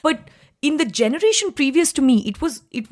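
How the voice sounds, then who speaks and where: A woman's voice: high voice, sing-song, brisk. Inquisitive woman host. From India, grew up in Delhi. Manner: inquisitive, frequent tag questions "right?". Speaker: podcast host. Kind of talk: interview conversation.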